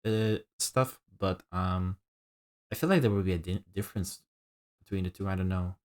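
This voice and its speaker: A man hosting a casual podcast, asking and answering in a halting, polite way. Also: deep voice. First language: Dutch. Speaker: podcast host. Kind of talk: casual podcast interview chat.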